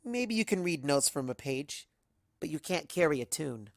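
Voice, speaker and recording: A young female pony heroine, voiced by a story reader stands her ground in a dramatic tale. The delivery is earnest and determined, with deliberate, emphatic phrases at a medium pitch in a dry recording. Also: sing-song, vocal fry, dry recording. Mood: defiant, resolute.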